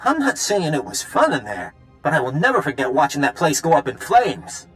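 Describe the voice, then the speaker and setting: A spirited male resistance fighter in a video game, with a fairly high voice. He sounds eager, upbeat and a little cocky. Music plays behind the dialogue. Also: huge pitch swings, brisk, fairly bright tone. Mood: excited briefing.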